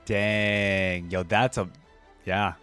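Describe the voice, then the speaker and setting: A man's voice: on the low side, little pitch movement, extremely slow, sharp tone, clear, resonant tone, faint music behind. A man giving casual, slangy reaction commentary as a video host, with appreciative interjections.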